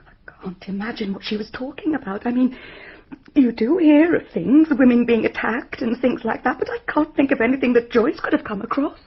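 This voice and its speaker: A woman's voice: a little high, brisk, breathy edge. An actress playing an anxious, bereaved middle-aged mother in a radio drama, genteel and hesitant. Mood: bewildered. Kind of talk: radio mystery drama acting. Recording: muffled radio drama recording.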